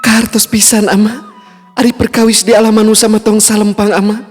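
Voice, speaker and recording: A woman's voice: medium pitch, little pitch movement, fairly quick, natural voice. A woman playing a confident, dutiful jinn princess who speaks formally and respectfully in a Sundanese audio tale. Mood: confident, reassuring. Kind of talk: Sundanese audio storytelling drama. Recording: long hall reverb, music underneath.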